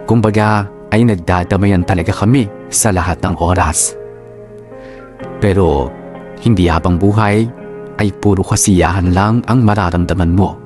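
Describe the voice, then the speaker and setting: A man's voice: low voice, steady tone, normal speed, natural voice. An actor playing a devoted boyfriend who narrates his love story sincerely and reflectively, with a Filipino accent. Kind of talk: scripted dramatic narration for radio drama. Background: soft guitar music.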